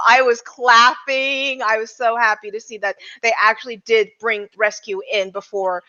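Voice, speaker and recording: A woman's voice: fairly high pitch, sharp tone. A woman speaking as a podcast panelist, discussing film casually in an opinionated, emphatic way. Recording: dull lo-fi audio.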